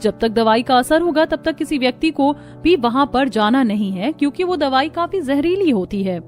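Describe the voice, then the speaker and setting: A woman's voice: high voice, expressive, moderate pace, faint music behind. A woman voicing a businesslike, appreciative snake-catching expert in a cartoon story. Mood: cautioning.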